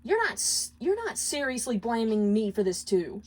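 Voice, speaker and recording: A woman plays an imp husband at the end of his tether in a storybook drama. His voice is pushed up high, argumentative and pleading, full of exasperation. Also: very animated, moderate pace, darkish tone. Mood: exasperated, defensive. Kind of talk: dramatic storybook character reading.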